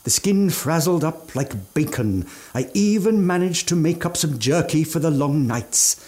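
An actor playing an eccentric, aging gourmand mountaineer with ghoulish relish and fussy, old-fashioned genteel speech in a radio drama. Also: male voice, on the high side, expressive, a little slow, a little mellow. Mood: savoring relish. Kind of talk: theatrical radio drama monologue.

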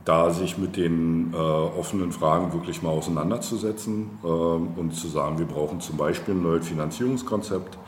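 Deep-voiced, hesitant man in an echoey room. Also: steady tone, moderate pace, few pauses. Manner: hesitant, searching for words, wordy. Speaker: politician being interviewed. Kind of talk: conversational political interview. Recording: roomy, echoing, with background noise.

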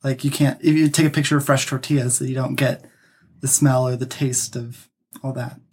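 A man's voice: medium pitch, steady tone, moderate pace, breathy edge. A young man, a guest on a podcast, chatting in an easygoing way. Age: young adult. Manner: easygoing, frequent "yeah" and "mm-hmm". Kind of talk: casual interview chat.

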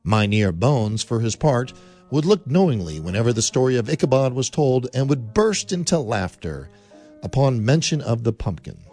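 A man's voice: mid-range voice, big pitch swings, moderate pace, a little mellow. A man narrating a radio play in a theatrical way, building tension through the telling. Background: music.

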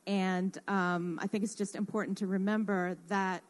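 A woman with a medium-pitched voice speaks as a panelist in an informal radio discussion. She is earnest and explanatory, pausing and picking her way through her points. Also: flat delivery. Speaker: radio panelist who works in construction.

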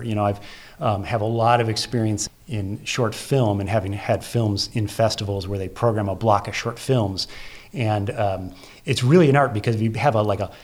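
A man with a fairly low voice talks as an interview guest. He explains things conversationally, working out his thoughts aloud. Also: a little mellow. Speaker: interview guest, theatre festival curator and producer.

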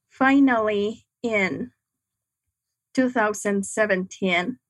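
Slow, halting young woman, second-language English. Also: mid-range voice, no echo. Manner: halting, reflective, frequent pauses. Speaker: guest. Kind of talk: personal testimony in an interview.

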